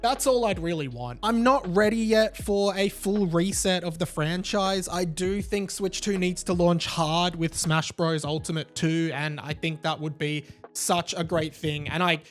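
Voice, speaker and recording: A man's voice: sits high, measured pace. A man hosting a casual, chatty video game commentary, speaking enthusiastically to viewers in slangy language. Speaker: YouTube video host. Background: background music.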